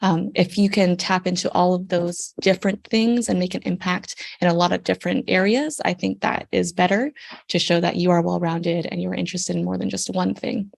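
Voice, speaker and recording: A young woman from Canada answers interview questions in a friendly, explanatory way. Her voice sits low and has a gravelly fry. She often pauses with an "um" as she gives advice. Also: fairly even intonation, darkish tone. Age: young adult. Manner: friendly and explanatory, with frequent ums. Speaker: guest, surgical intern.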